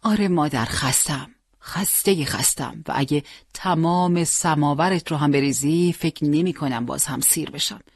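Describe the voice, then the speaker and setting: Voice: medium pitch, creaky, slightly rough, no room sound, clean studio sound. Narrator voicing a weary young soldier. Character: tired young soldier son. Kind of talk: acted dialogue in an audiobook.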